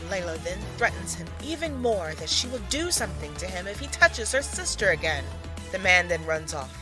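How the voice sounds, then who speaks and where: A woman's voice: medium pitch, big pitch swings, moderate pace, over music. A woman narrating a scripted audio drama as its storyteller.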